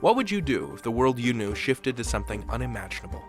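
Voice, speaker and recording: A man's voice: medium pitch, very animated, fast talker, faint music behind. A man hosting a podcast, reading scripted announcements and credits. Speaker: podcast host.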